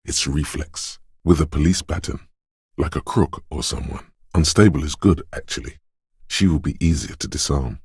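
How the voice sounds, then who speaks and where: An actor playing the coolly urging inner voice of a detective's reflexes in a video game, narrating to the listener in a deliberate, intimate, theatrical way. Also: male voice, a little mellow, dry recording, clean studio sound, full character voice. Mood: matter-of-fact. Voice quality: very deep, smooth, resonant. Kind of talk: dramatic second-person game narration.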